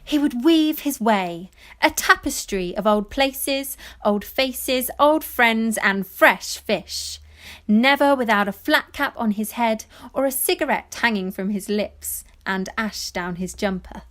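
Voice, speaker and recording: A woman playing a wistful granddaughter, giving lyrical, measured narration in an audio play. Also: a little high, a bit bright. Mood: fond recollection. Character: wistful granddaughter narrating family memories of fishing village life. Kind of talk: poetic scripted narration.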